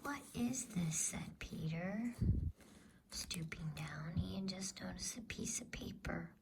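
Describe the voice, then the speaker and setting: A woman reading a bedtime story in a hushed, breathy ASMR whisper with a soothing delivery. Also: on the low side, takes it slowly, noisy background.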